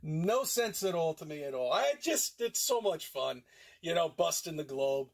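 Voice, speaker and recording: Husky older man talking in a car. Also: on the high side, big pitch swings, moderate pace. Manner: meandering, repeats himself, sarcastic. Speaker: flat earth video maker. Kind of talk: rambling monologue. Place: inside a parked car.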